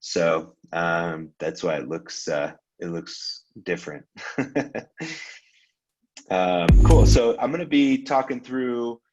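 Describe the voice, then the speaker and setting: A man from Iran giving a casual presentation on a video call, relaxed and loose, with frequent ums and restarts. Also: lowish voice, lo-fi sound. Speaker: guest speaker, venture capitalist.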